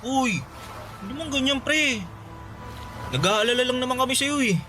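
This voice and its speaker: A young male friend in a radio drama, with an unusually high, bright voice, calm and soothing as he keeps the peace. Music sits faintly behind. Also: normal speed. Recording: music underneath.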